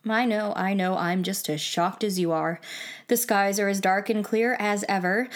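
An actress playing a playful, mischievous watchtower traffic reporter who chats and teases on air in an audio drama. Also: female voice, medium pitch, fairly even intonation, normal speed, natural voice. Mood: breezy. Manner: teasing, flippant, theatrically wry. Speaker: traffic reporter on a night radio broadcast. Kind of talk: audio drama acting, chatty radio broadcast.

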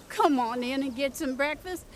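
A woman's voice: sits high, measured pace, a little hiss or hum. A woman playing a folksy, doting country mother who scolds and fusses. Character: fussing folksy country mother, full of sayings.

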